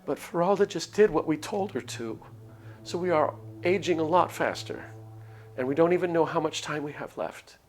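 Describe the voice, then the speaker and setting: A man voicing an anxious, soft-hearted village woman in a visual novel, hesitant and pleading. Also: fairly high pitch, expressive, moderate pace, pitched above the performer's own voice, close to an everyday voice. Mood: worried, pleading. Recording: music behind the voice.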